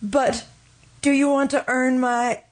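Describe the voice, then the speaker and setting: High-voiced grieving young widow played by an actress. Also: female voice, flat delivery, slow. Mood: fervent, insistent. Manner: fervent, melodramatic, insistent. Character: grief-stricken, obsessive young widow, pleading and morbid. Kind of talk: old-time radio drama acting.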